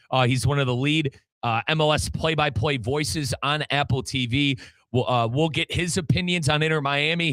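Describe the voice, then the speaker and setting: A male sports radio host talks informally at a middle pitch. The sound is close and dry, like a studio microphone. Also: fairly bright tone, dry recording.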